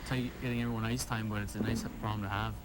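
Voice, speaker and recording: A man's voice: fairly low pitch, flat delivery, fast talker, background noise. A man from Ontario, Canada, a hockey captain giving casual, modest interview answers with hesitant repeats. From Timmins, Ontario, Canada.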